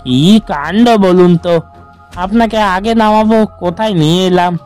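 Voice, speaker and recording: A man voicing a grave, cryptic village auto-rickshaw driver in an animated horror story. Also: high voice, a little slow, faint music behind. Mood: absent-minded. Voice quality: grave-toned.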